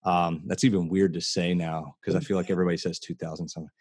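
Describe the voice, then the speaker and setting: A man's voice: low-pitched, little pitch movement, fast, darkish tone, breathy edge, no echo, studio quality. An American man in his late thirties hosting a casual, heartfelt podcast chat, talking earnestly and telling stories conversationally. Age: late 30s. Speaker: podcast host.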